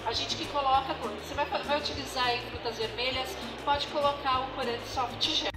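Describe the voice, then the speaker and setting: High-voiced Brazilian woman teaching in a hall. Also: fairly even intonation, normal speed. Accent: Brazilian Portuguese. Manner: explanatory, checks in with "tá?". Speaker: confectionery class instructor. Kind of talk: cooking demonstration. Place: trade fair hall. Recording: echoey fair hall from far off. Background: loud music.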